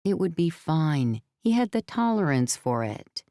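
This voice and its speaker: A woman's voice: deep voice, sing-song, a little slow, mellow, no room sound, clean studio sound. A woman narrating scripted fiction as a storyteller in a full-cast audiobook. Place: dry recording studio.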